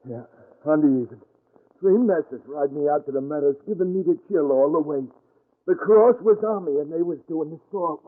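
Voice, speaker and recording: Actor as a jittery little crook, tinny old radio. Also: male voice, fairly high pitch, moderate pace, natural voice. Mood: aggrieved. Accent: American. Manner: thick old underworld slang, pleading. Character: jittery small-time crook hiding from gangsters. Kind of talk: 1940s American radio drama acting. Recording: tinny, band-limited old radio recording.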